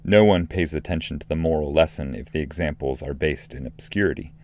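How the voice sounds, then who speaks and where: A man narrating epic poetry as an audiobook reader, in a measured and solemn manner. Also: very deep voice, a little mellow.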